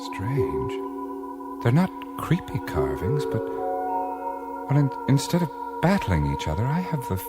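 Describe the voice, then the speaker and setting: A man's voice: medium pitch, huge pitch swings, a little slow, long pauses. A man playing a curious, easily amazed adventurer in a radio play, musing aloud in wonder. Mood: puzzled, musing. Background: loud music.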